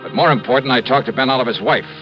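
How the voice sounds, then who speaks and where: An actor playing a shrewd, laconic American investigator in a 1950s radio drama, probing and dry. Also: male voice, medium pitch, very fast, close to an everyday voice. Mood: dry narration. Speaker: lead actor in a detective radio serial. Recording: muffled vintage radio recording, music underneath.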